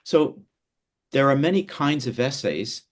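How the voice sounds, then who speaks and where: A middle-aged man from Canada leads an online workshop over a video call. His voice sits at an ordinary middle pitch, and he explains things in a halting way, full of fillers and repeated words. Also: animated. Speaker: teacher.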